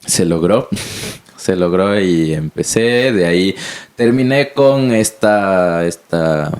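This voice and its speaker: A man's voice: deep voice, lively intonation, slow, darkish tone, clean tone. A young man from Quito with an Ecuadorian accent, co-hosting a podcast in a rambling, chatty way that keeps correcting itself. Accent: Ecuadorian Spanish, from Quito. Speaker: podcast co-host. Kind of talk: casual chat.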